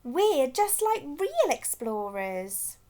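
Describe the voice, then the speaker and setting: Woman as a plucky, high-voiced girl penguin. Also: very animated, extremely slow, warm tone, exaggerated voice. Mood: cheerful. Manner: bold, encouraging. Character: brave, adventurous female penguin explorer. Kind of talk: children's storybook read-aloud.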